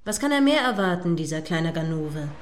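A woman's voice: fairly low pitch, big pitch swings, brisk, slight background noise. A woman playing a prim, indignant news commentator in a radio play, judgmentally describing events step by step as they happen.

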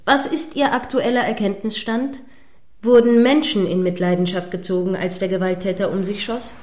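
A woman plays a female radio news anchor in a radio drama. She presents breaking news formally at a middle pitch, with measured delivery and insistent, probing questions. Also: fairly even intonation, darkish tone, close to an everyday voice. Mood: earnest, probing. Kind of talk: satirical radio play as live news broadcast.

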